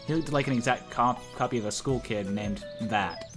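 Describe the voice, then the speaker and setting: A young man with a mid-pitched voice narrates a visual novel aloud in a casual group session. He jokes with his friends between lines. Faint music runs underneath. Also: normal speed. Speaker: narrator in a group let's play. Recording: music behind the voice.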